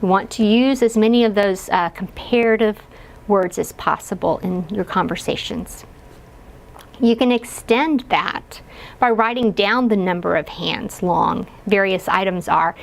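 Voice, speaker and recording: Woman, early childhood teacher type, unhurried explanatory delivery with gravelly fry, demonstrating questions for young kids. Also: mid-range voice. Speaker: early childhood educator guiding parents. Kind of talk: instructional read-aloud guidance.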